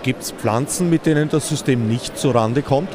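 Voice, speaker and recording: A man's voice: medium pitch, normal speed, crowd noise. A man interviewing exhibitors at a fair with brief, direct questions. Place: busy indoor fair hall.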